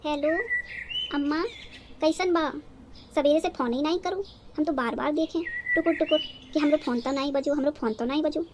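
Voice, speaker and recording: Woman acting as young village wife, very high voice, rural North Indian accent, naive, rambling, dramatic, cartoon story, faint birdsong noise. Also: normal speed, lightly acted. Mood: chatty. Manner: rambling, guileless, theatrically indignant. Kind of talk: cartoon story dialogue in rustic dialect.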